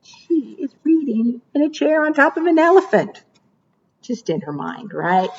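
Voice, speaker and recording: A woman librarian reading picture books and leading rhymes for preschoolers in a slow, encouraging sing-song way. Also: high voice, very animated, vocal fry, a little mellow, dull audio. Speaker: children's librarian.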